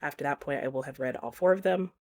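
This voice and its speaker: A woman's voice: deep voice, quick pace, a little mellow. A middle-aged woman giving a casual book review in a reflective, rambling way, often correcting herself.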